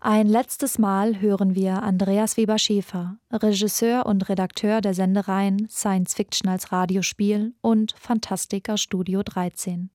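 A woman's voice: mid-range voice, level tone, a little slow, hardly any pauses, mellow, no room sound, clean studio sound. A young woman reading scripted documentary narration for a podcast. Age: young adult. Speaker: podcast narrator, radio intern.

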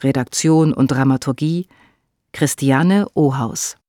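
A woman's voice: extremely low pitch, slow pace, dark tone. A woman reading scripted credits as announcer for a radio drama.

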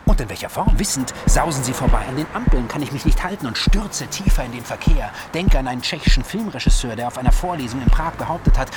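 A man's voice: medium pitch, close to an everyday voice. A male actor playing a manic, paranoid writer in psychotic breakdown, narrating a literary radio-play monologue with feverish intensity. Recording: loud music under the voice. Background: prominent music.

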